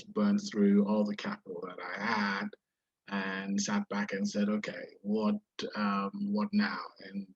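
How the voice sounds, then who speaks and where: A man's voice: on the low side, breathy edge. A man from Nigeria with a Nigerian accent touched by British schooling, a venture investor explaining his fund, with many fillers and restarts. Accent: Nigerian with British influence. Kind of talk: webinar interview. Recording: dull lo-fi sound.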